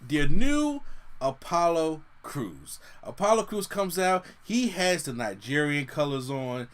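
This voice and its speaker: A man's voice: on the high side, slow. A man giving a casual, loose wrestling show review, talking in restarts and paraphrasing what wrestlers said. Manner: animated, loose, with many restarts and quoted paraphrases.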